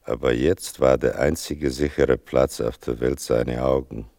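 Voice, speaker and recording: An actor playing a grave son who narrates his mother's story in a radio drama, slow and solemn with a gravelly voice. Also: fairly even intonation, warm tone, no echo, clean studio sound, full character voice. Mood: solemn, reflective. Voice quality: deep, gravelly. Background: music.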